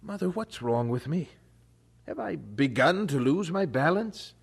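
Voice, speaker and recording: Husky, expressive troubled young son. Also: male voice, fairly high pitch, unhurried, full character voice. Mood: anguished, restless. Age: young adult. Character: troubled, restless young Englishman, son torn by conscience. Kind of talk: audio drama acting.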